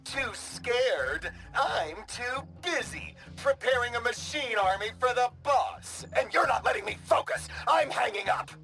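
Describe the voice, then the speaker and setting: Shrill, blustering villain commander. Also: male voice, lively intonation, measured pace, hardly any pauses, pushed and bright, faint music behind, heavily acted. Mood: angry, blustering. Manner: pompous, ranting. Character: blustering, hot-tempered comic male villain commander. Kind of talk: animated video game cutscene acting.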